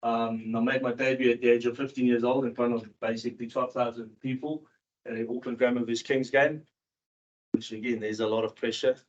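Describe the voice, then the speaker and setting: A man from South Africa who moved to New Zealand in his teens, giving a candid, informal personal talk to an audience in a halting, repetitive way. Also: medium pitch, little pitch movement. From South Africa, moved to New Zealand in his early teens. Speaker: seminar speaker, cricket coach and former professional cricketer. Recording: dull, muffled seminar recording.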